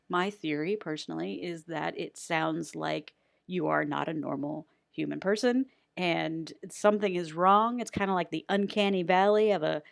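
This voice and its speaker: A chatty woman with a slightly low voice walks through a tutorial casually and informally. She has a playful, self-deprecating sense of humor, and the recording is close and dry with very little room sound. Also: no echo. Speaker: voice actor giving an audio editing tutorial.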